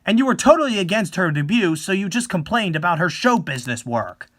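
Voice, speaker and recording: Performer acting as forceful, accusing young fan-club thug. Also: medium pitch, very animated, fairly quick, slight background noise, voice pushed up. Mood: furious, accusing. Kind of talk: dramatic reading of a horror manga.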